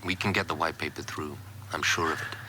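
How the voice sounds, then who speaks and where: An actor playing a stubborn, confiding married politician, clipped and restrained, in a radio drama. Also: male voice, very deep voice, fairly quick, sharp tone, slight background noise, exaggerated voice. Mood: anxious. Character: married male politician, confiding, stubborn, guilty yet self-assured. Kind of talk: naturalistic radio drama acting.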